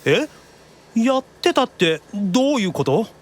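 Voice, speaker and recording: An actor playing a youthful, excitable demon younger brother in an anime audio drama, casual and expressive in his reactions. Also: sits high, a little slow, full character voice. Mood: puzzled.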